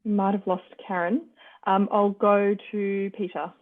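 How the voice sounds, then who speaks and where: A woman's voice: mid-range voice, level tone, measured pace. A woman moderating an online forum over a muffled, narrow-band video call.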